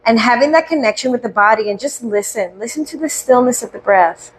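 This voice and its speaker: A woman leading a guided breathing meditation, speaking slowly and soothingly with gentle questions and audible sighs. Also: on the high side, animated, slight background noise, low-quality recording.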